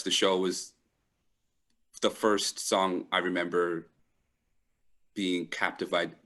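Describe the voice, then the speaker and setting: A middle-aged man speaking reflectively as an interview guest, pausing often to find his words. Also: low-pitched, a bit bright. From Trinidad and Toronto, Canada. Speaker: panel guest. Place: live online video call.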